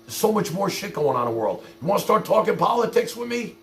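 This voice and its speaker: Male livestream host, gravelly and swinging widely in pitch; foul-mouthed, exasperated monologue aimed straight at viewers. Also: sing-song, quick pace, slight background noise. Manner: profane, exasperated, confrontational; addresses viewers directly with repeated rhetorical questions.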